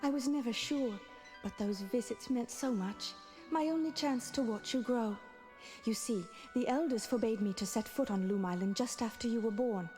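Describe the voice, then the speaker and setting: An actress playing a gentle noble mother turned swan in an adventure game, speaking in a measured, formal, tender way. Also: on the high side, faint music behind, natural voice. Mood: gentle, solemn.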